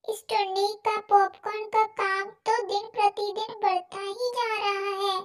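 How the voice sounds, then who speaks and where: A performer voicing a jealous, vengeful male crow in a children's cartoon, with a sly, accusing manner. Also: extremely high pitch. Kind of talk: children's cartoon story acting.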